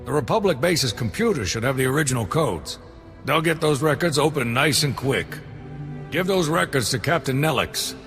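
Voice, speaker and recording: A man's voice: medium pitch, lively intonation, normal speed, close to an everyday voice. A man playing a blunt, grateful security chief in a game, plain-spoken in manner. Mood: businesslike. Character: gruff but grateful male security chief. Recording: long reverb, faint music.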